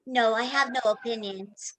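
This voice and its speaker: Obliging android classmate, played by a woman: bright crisp edge, highish, formal and literal, stage reading. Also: normal speed, lightly acted, poor audio. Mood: neutral, literal. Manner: flatly literal, formal, precise. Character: literal, polite female computer schoolgirl, unfeeling, obliging.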